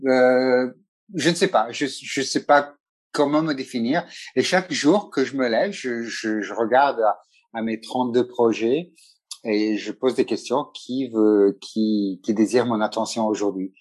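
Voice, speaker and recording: A middle-aged man, a photographer talking as a guest in a relaxed interview, hesitating and restarting often. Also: medium pitch, unhurried. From Italy. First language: Italian. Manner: hesitant, many restarts and fillers. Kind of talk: casual conversational interview.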